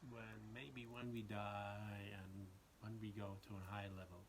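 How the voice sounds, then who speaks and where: A man talking reflectively about spiritual ideas in short, halting phrases with pauses between them. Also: on the low side, level tone. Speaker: discussion participant. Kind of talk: slow reflective spiritual talk.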